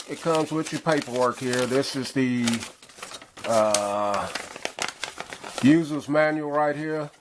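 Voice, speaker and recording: A middle-aged man casually reviewing a pocket radio, rambling along with frequent pauses and fillers. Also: medium pitch. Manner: relaxed, rambling, frequent uhs. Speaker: gadget reviewer.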